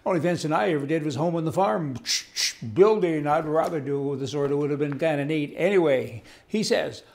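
A man's voice: fairly high pitch, fairly quick, vocal fry. An older man, giving indignant, rambling, folksy news commentary as host, reading to camera on an indoor studio set. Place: indoor studio set with wood-panel wall.

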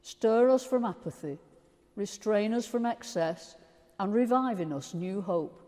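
A woman's voice: mid-range voice, animated, a little slow, dark tone. An older woman priest reading liturgical prayers as she leads a church service. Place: large stone church. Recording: reverberant church.

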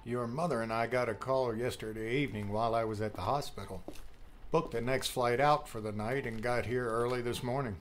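A man playing a middle-aged, protective and affectionate father with a gentle, dry teasing manner in an audiobook drama. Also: mid-range voice, fairly even intonation, a little fast, slight background noise, lightly acted. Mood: relieved, steady.

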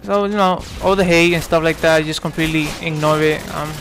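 A man's voice: a little high, fairly even intonation, a little fast, vocal fry, a bit bright, a little hiss or hum, poor audio. A man in his twenties from New York giving casual, rambling commentary over gameplay, full of fillers and restarts. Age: 20s. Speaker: gaming commentator.

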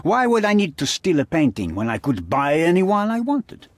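An actor playing a menacing, haughty middle-aged crime boss with a husky voice, speaking formally and deliberately in a dramatic video game style. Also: male voice, on the high side, huge pitch swings, no echo. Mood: irritated, dismissive.

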